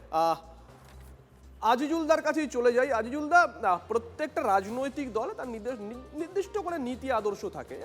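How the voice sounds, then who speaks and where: A very high-pitched male debate host with a West Bengal Bengali accent. He moderates a news panel, steering his guests, in a heavily reverberant recording with music underneath. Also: fairly bright tone. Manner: interjects short acknowledgements, steers guests.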